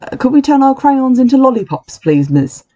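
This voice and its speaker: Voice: sits high, very animated, moderate pace, mellow, dull audio, slight background noise. A reader voicing a large, greedy, annoying schoolboy in a children's storybook. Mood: eager.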